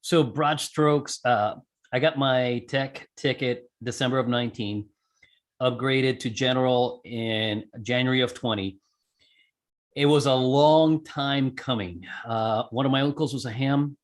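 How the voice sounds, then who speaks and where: A man from Puerto Rico with a mid-pitched voice talks as a guest in a casual interview. He tells things in colloquial language and voices his own thoughts as quotes. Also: a little slow. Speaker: interview guest, amateur radio operator and skydiver.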